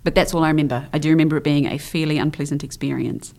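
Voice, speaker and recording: A woman's voice: low-pitched, steady tone, very fast, a little mellow. A young woman from the Isle of Man talking casually as an interview guest, chatty and hesitant, full of ums and trailing phrases.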